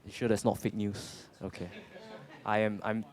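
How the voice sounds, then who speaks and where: A man's voice: fairly low pitch, level tone, moderate pace, darkish tone, a little hiss or hum. A man in his twenties with a Singaporean accent, answering on a panel in an earnest way, often repeating words. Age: 20s. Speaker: panel speaker.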